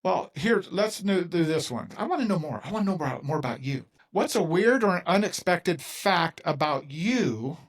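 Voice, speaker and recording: A man's voice: sits high, expressive, moderate pace, hardly any pauses. A man in his fifties hosting a casual interview, talking in an emphatic, folksy way and acting out other people's lines. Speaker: podcast host.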